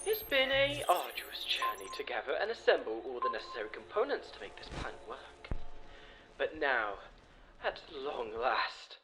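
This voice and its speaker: Pompous evil scientist male actor, mid-pitched, very animated intonation, precise and calculating, comic-dub villainy. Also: music in the background, low-quality recording. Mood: grandiose, calculating. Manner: theatrical, formal, deliberate diction. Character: pompous, scheming villain doctor, a cold analytical schemer.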